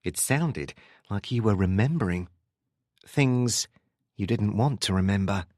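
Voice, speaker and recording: A man voicing a curious, persistent woman who probes gently in a narrated novel. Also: on the low side, lively intonation, a little slow, dry recording, studio quality. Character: curious, persistent young woman, probing and smoking.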